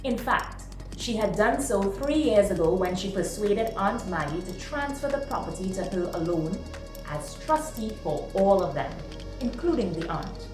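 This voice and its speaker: A woman reading a short story aloud in a dramatic, expressive narrating style. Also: medium pitch, normal speed. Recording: roomy, distant mic, music behind. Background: music.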